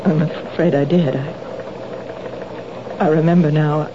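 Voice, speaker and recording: Nervous reformed thief, halting, radio drama, lo-fi broadcast. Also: female voice, low voice, fairly even intonation, background noise. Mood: flustered. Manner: halting, flustered confessions. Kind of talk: 1970s radio drama acting. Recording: dull lo-fi old radio broadcast.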